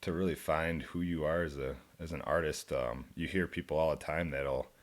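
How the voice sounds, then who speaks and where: Very deep-voiced young man. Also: fairly even intonation, normal speed. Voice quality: deep low voice. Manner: laid-back, rambling, laughs often. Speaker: country musician guest. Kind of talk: casual interview chat. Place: radio studio.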